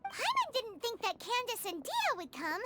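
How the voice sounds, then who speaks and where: A woman's voice: very high voice, huge pitch swings, normal speed, over loud music, exaggerated voice. A woman voicing a tiny, chatty, curious childlike fairy companion in a video game. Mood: eager. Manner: refers to self in third person. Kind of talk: English video game voice acting.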